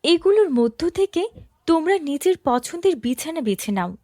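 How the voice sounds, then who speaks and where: A woman voicing a gentle but firm young princess in a storybook cartoon. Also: extremely high pitch, very animated, normal speed, no echo, clean studio sound. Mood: instructing.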